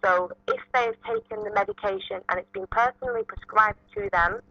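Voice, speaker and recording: A woman's voice: a little high, big pitch swings, brisk, creaky, bright, forceful tone, no echo. Woman lawyer on radio explaining stuff step by step, dry dull lofi sound. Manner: fluent, detailed, methodical explaining. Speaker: motoring lawyer, radio guest. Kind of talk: live radio interview, explaining the law. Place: live local radio broadcast. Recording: dull lo-fi radio or phone audio.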